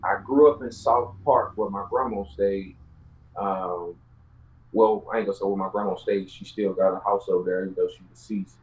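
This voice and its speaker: A man's voice: lowish voice, steady tone, moderate pace, rough recording. A man from Houston with a Southern US accent, telling his own story casually as an interview guest in streetwise, slangy speech. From Houston, Texas, United States.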